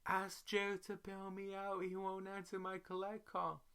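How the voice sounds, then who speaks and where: A man's voice: high voice, monotone, unhurried, fairly bright tone, clear, resonant tone, voice pushed up. A man voicing a needy young woman in trouble, pleading, in a dramatic story reading. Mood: desperate.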